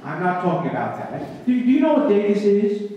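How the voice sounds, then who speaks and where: A man playing an exasperated but soft-hearted father scolding his little daughter in old-time radio comedy, stern, flustered and stammering. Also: high-pitched, wildly swooping pitch, a little fast, dull audio. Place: small auditorium stage, audience in dark. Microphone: far camera. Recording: echoey hall, distant camera, faint noise.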